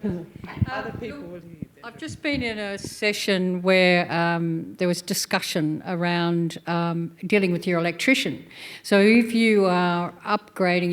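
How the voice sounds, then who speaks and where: A woman in the audience with an Australian accent, asking a long, winding question. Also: fairly low pitch. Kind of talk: question from the floor.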